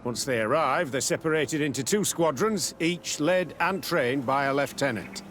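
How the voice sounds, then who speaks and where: A man's voice: fairly high pitch, moderate pace, fairly bright tone, a little hiss or hum, lightly acted. Man playing a guarded, commanding camp captain. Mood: guarded, official. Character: guarded, commanding male captain running a secret military camp. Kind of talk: video game dialogue acting.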